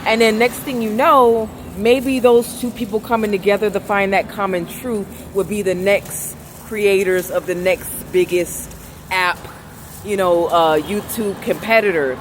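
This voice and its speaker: A woman with a velvety, raspy voice talks to her viewers in a loose, rambling monologue, pushing to be heard over the street. Her pitch sits mid-range for a woman, and faint city traffic hums behind her outdoors. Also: steady tone, a little slow. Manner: soft-spoken, rambling, trying to talk louder. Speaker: vlogger. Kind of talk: casual monologue.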